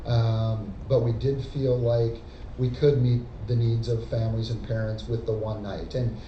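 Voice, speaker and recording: A man administrator calmly answering a question at a school board meeting. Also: mid-range voice, a little slow, slight background noise. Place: meeting room. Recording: distant, dull echoey recording.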